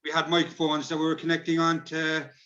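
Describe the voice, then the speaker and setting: A man's voice: a little high, completely flat delivery, brisk, bright, forceful tone. An Irish man giving casual practical advice in an online discussion, on dull lo-fi call audio. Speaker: workshop speaker advising on recording.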